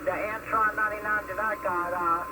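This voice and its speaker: Guy on a cb radio coming thru a speaker, kinda far off w clear static noise, high voice, friendly n polite. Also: level tone, fairly quick. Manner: courteous, friendly, says sir and my friend. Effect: CB radio transmission. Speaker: CB radio operator, caller. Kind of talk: casual radio chat with operator jargon. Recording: distant, noisy CB radio through speaker.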